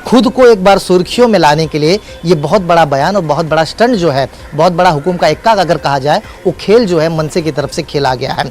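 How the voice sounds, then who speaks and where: A man's voice: a little high, brisk, few pauses. A man reporting live as a news correspondent, speaking unscripted in emphatic, repetitive phrases with hesitant fillers.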